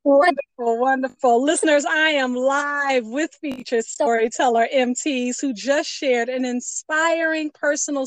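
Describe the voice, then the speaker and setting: A woman's voice: high-pitched, no echo. A woman radio host presenting a storytelling interview show in an encouraging, affirming way.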